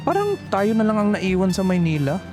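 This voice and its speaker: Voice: mid-range voice, wildly swooping pitch, fairly quick, over music. A performer playing a sassy, flamboyant call center agent who complains in dramatic slang. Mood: grumbling. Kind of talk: horror story dialogue.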